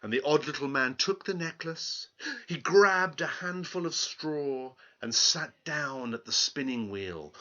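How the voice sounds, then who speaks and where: A man telling a fairy tale aloud in a theatrical, deliberate, expressive way. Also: a little high, muffled recording. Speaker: storyteller.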